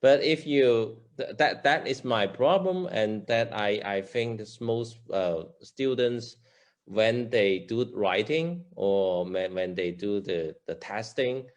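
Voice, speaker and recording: A man whose first language is Chinese, practicing English in a lesson, hesitant and halting with many restarts. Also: on the low side. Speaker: English student. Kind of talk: lesson conversation.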